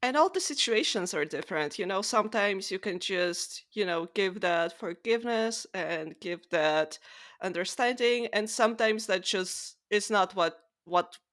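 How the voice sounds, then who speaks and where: Woman, podcast co-host, medium pitch, casual book discussion, conversational, informal wording. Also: expressive, unhurried, fairly bright tone.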